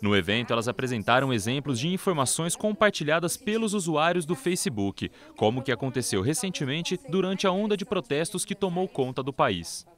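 Brazilian male news reporter, lively intonation. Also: on the high side, fairly quick, few pauses. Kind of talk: scripted news narration.

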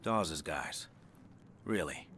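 A man's voice: extremely low pitch, expressive, extremely slow, slight background noise, exaggerated voice. An actor playing a hard-driving, foul-mouthed man in a crime shootout video game, commanding and urgent. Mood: low, serious. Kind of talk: cinematic action game acting. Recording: loud music and gunfire behind.

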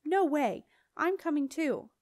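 A woman voicing a stubborn, hot-tempered girl who shouts with defiance in an audiobook story. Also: high voice, lively intonation, unhurried, no room sound, studio quality, pitched above the performer's own voice. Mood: defiant, yelling. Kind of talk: audiobook character dialogue.

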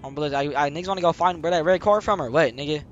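A man's voice: on the high side, fast, dull audio. A man streaming a game, chatting casually and role-playing with friends in slangy, sweary talk. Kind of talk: casual gaming chat, role-play banter. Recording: noisy game audio with vehicle sounds.

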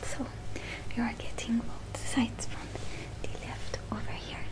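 A young woman playing a calm, helpful robot repair technician in a whispered, gentle ASMR roleplay, close on the mic. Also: close to an everyday voice, rough recording. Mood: calm, attentive. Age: young adult. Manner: slow, gentle, soft-spoken. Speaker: ASMR performer. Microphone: close ASMR microphone.

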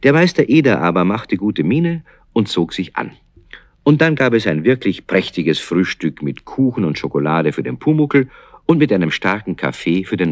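A man's voice: low-pitched, no room sound, clean studio sound. A man narrating a children's radio play as a scripted storyteller.

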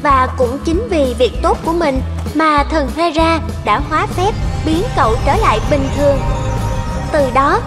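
A woman's voice: high voice, measured pace, over music. A woman reading scripted fairy-tale narration as a storyteller.

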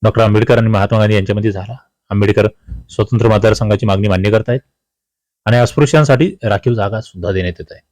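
A man's voice: on the low side, a little mellow, no echo. A man with a Maharashtrian accent teaching an exam-prep history quiz, addressing students emphatically, repeating key questions and answers with colloquial asides. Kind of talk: quiz-style history lesson, talking to students.

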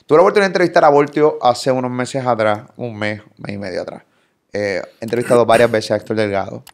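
Animated Puerto Rican man hosting a podcast. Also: mid-range voice, quick pace, vocal fry. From Puerto Rico. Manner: energetic, playful, swears, waves hands while talking. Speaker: podcast host. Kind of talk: casual interview. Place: pink studio set. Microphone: boom studio mic.

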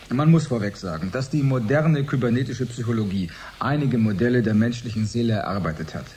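Actor as lively-pitched, didactic male scientist. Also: natural voice. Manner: didactic, deliberate, formal. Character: earnest male research scientist explaining his theory. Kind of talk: radio drama, expert interview. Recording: faint background noise.

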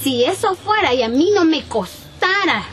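A woman's voice: high-pitched, animated, normal speed, fairly bright tone. A young Salvadoran woman playing a worried, indignant farm owner in an amateur sketch, emphatic and repeating herself as she explains. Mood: defensive, explaining. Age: young adult. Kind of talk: amateur improvised sketch acting. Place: outdoors in a rural yard. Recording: outdoor camera sound, faint ambient noise.

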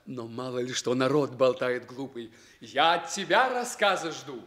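An actor playing a proud young exiled prince in a theatrical radio fairy-tale drama, declaiming emphatically. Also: male voice, mid-range voice, a little slow, a bit bright. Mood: curious, dismissive.

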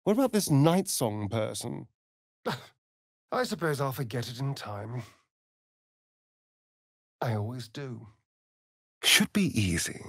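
An actor playing a vain, sardonic vampire rogue in a fantasy video game, with an arch, drawling, theatrical delivery. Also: male voice, medium pitch, expressive, takes it slowly, long pauses, fairly bright tone, no echo, studio quality, heavily acted. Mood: dry, flippant.

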